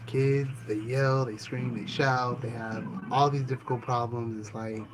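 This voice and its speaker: A man hosting his own channel, answering questions about himself in a calm, easygoing way, with many ums and restarts. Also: medium pitch, measured pace. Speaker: channel host and author. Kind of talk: casual self-interview answering questions. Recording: noticeable background ambience noise.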